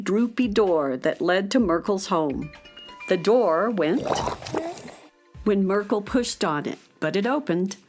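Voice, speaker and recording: Woman narrator reading a kids adventure story, medium pitch, bit of music underneath. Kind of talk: scripted children's story narration.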